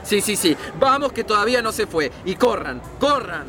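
A man's voice: high voice, sing-song, moderate pace, background noise. An actor playing an exasperated, bossy, foul-mouthed father in a comedy, with a Rioplatense Argentine accent. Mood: frantic. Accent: Rioplatense Argentine Spanish. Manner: shouting, bickering, barking orders. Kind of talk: broad comic family sketch acting.

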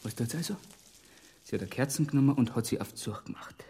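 An actor playing a brisk, middle-aged Bavarian police inspector in a radio crime drama, reasoning aloud and giving terse orders in dialect. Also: male voice, medium pitch, dark tone, a little hiss or hum, lightly acted. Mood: deducing, hushed. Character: brisk male police inspector in a 1920s Alpine village, reasoning and commanding.

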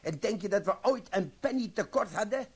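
A sly army sergeant, husky, bouncy sing-song delivery, cheeky patter, radio play. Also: male voice, sits high, expressive, fairly quick, exaggerated voice. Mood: wheedling. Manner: cheeky, wheedling banter. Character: sly, glib male army quartermaster sergeant.

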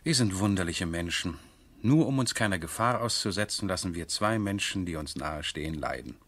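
Keen noble husband in an old radio play, soft husky low voice, talking someone round. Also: male voice, lively intonation, brisk. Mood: persistent, persuasive. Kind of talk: 1970s German radio drama acting.

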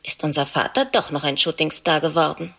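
Actress playing a husky, grumbling half-sister. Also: female voice, low voice, brisk, pushed and bright, exaggerated voice. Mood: grudging. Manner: complaining, insistent. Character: woman, half-sister, plaintive and grudging. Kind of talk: radio play acting.

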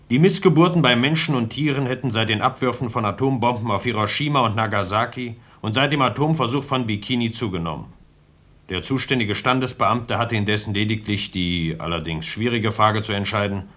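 A sober man delivers a radio drama report. His voice sits at a middle pitch. The wording is formal and the manner factual and detached. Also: a bit bright. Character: sober male narrator reading an official report. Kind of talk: scripted radio play narration.

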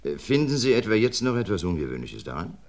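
An actor playing a seasoned, sardonic forensic doctor in a vintage radio crime drama, lecturing dryly. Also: male voice, on the low side, very animated, fast talker, a little mellow. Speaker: police doctor. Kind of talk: 1950s radio play acting.

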